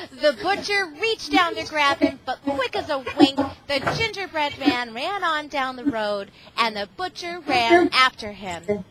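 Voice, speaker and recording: Female classroom storyteller: high voice, unhurried pace, expressive delivery for young children, loud background noise. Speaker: reading tutor and visiting parent reading to a class. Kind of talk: storybook read-aloud to children. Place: school classroom. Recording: very noisy amateur classroom recording.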